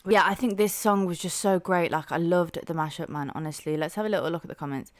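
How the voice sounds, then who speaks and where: A young woman with a soft, slightly low voice reacts to music in a casual, chatty way. She talks enthusiastically and fills her thoughts with "like" and "um". She sounds like a relaxed host talking straight to her viewers. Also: fast. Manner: chatty and enthusiastic, heavy use of "like" and "um".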